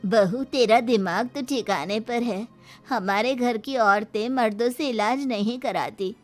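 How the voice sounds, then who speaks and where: Woman acting stern, high-voiced village uncle. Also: measured pace, soft background music, close to an everyday voice. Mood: disapproving. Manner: domineering.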